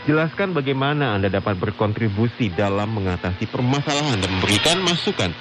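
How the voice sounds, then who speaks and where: A man's voice: on the low side, expressive, fairly quick, loud music behind the voice. A man co-hosting a conversational radio show and giving tips in an agreeable way, over shortwave radio. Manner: agreeable, frequent affirmations. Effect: shortwave radio reception. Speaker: radio co-host. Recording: very noisy shortwave radio broadcast.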